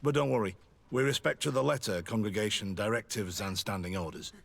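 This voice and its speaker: A frontier lieutenant in a video game. The voice is low and gravelly, and the delivery is formal and dutiful, like a soldier reporting to a superior. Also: male voice, a little fast. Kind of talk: video game dialogue acting.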